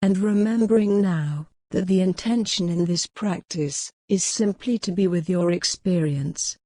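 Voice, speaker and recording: A woman leading a guided mindfulness meditation in a gentle, soothing, measured way with long pauses. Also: fairly low pitch, dry recording, studio quality.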